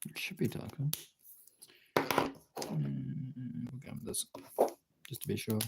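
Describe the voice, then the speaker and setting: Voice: mid-range voice, very animated, slow. A streamer chatting casually while working on art, thinking aloud in short, informal, sweary remarks. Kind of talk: casual chat while working, muttered self-talk. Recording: clearly noisy, with clicks.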